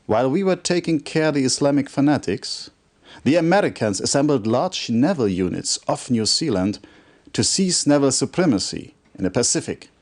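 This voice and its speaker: An actor playing a stern, formal military commander who briefs an officer in a measured, authoritative way for a video game cutscene. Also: male voice, mid-range voice, lively intonation, darkish tone, lightly acted. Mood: commanding. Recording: compressed old game video audio.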